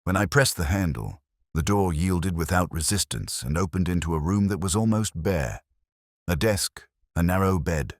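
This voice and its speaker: A man narrating a first-person detective story in a measured, brooding literary manner. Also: extremely low pitch, no room sound, studio quality.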